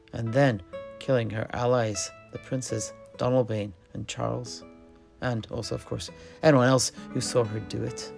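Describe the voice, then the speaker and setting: Genial alchemist truthsayer for fantasy audio lore male performer, gravelly edge, exclaiming and hesitant, faint music underneath. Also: mid-range voice, a little mellow, natural voice. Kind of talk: in-character fantasy lore storytelling.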